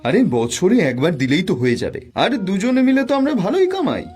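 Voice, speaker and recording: A man's voice: sits high, wildly swooping pitch, fast talker, a little mellow, breathy edge, soft background music, heavily acted. A man playing a proud, brusque middle-aged father in a cartoon, speaking emphatically. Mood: insistent, callous. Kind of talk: Bengali cartoon voice acting.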